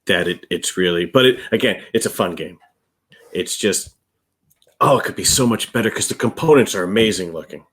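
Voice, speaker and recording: A man's voice: sits low, expressive, a little fast, a bit bright, husky. A man, middle-aged, chatting casually as co-host of a game review show, rambling a little and repeating words for emphasis. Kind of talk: casual chat, reviewing board games.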